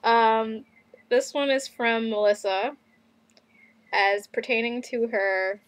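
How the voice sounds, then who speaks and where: A woman's voice: fairly high pitch, fairly even intonation, takes it slowly, no echo. A young woman from Canada chatting casually in a video diary, rambling with lots of ums and little laughs. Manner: rambling, many fillers and laughs. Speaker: vlogger.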